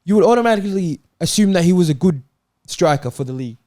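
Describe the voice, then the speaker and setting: Higher-voiced man, mellow, talking football. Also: lively intonation, fast talker, dry recording, studio quality. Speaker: panellist on a football show. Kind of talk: casual chat.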